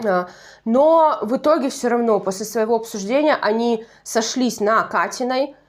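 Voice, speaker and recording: A woman's voice: fairly high pitch, normal speed. A woman with a Russian Far East accent chatting through a nostalgic TV show review, digressive and correcting herself as she goes. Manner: chatty, digressive, self-correcting, laughs at own slips. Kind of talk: casual solo review commentary.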